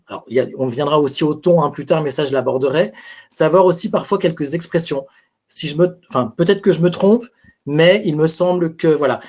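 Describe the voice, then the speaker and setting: A man's voice: a little high, a little mellow. A man presenting a live webinar as a speaking coach, talking conversationally and thinking aloud with restarts. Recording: muffled webinar audio.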